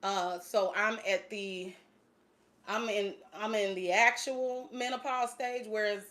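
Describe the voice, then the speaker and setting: A woman's voice: medium pitch, steady tone, slow pace, fairly bright tone. A woman in her late forties hosting a casual livestream, chatting loosely with frequent fillers and laughs. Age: 40s. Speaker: fitness channel host.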